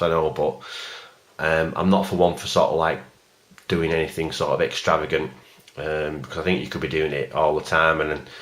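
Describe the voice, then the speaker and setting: A man giving a casual, rambling video review of a collectible figure in a plain, even voice, stopping often for ums and uhs. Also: rumbling low. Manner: rambling and hesitant, many fillers.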